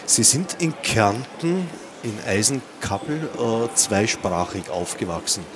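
A man interviewing a guest, putting his questions in formal, carefully phrased German with a measured delivery. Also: lowish voice, very animated. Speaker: interviewer, radio editor. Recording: noisy, with clear ambient background noise.

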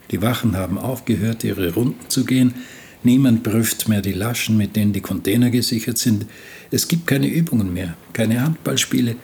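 A man's voice: fairly low pitch, a little mellow, slight background noise. A man playing a lonely, weary seaman who narrates life aboard ship in a sombre radio play.

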